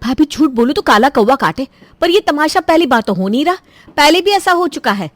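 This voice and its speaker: A woman's voice: high-pitched, animated, brisk, no room sound, pitched above the performer's own voice. A woman voicing a meddling, dramatic young married sister-in-law in a Hindi cartoon story. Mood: protesting.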